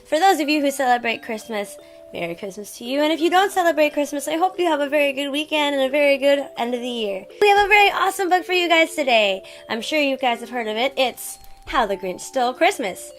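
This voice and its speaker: A woman hosting a read-aloud show, speaking in an expressive, theatrical storyteller's manner. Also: high-pitched, quick pace, soft background music. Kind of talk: expressive storybook reading with chatty introduction.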